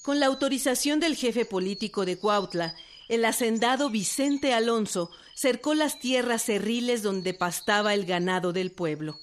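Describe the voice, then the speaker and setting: A woman's voice: on the high side, moderate pace, a little hiss or hum. A woman with a Mexican accent delivering scripted historical narration for a radio drama.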